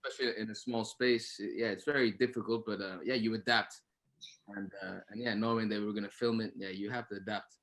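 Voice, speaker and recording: A man with a slightly low voice speaks casually as an actor on a panel. He is halting, often doubling back on words while he describes his work. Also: fairly even intonation, a bit bright.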